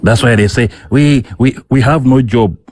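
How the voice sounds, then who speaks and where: A man's voice: medium pitch, normal speed, a little hiss or hum. A man from Uganda arguing in a heated online debate, insistent and repeating himself as he talks over the other speaker. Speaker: debate guest.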